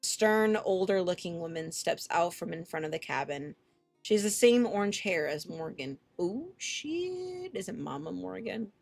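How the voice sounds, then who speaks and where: A woman's voice: fairly low pitch, expressive, normal speed, gravelly, a little mellow, faint music behind. A woman streamer reading a visual novel aloud expressively, with casual, sweary reactions.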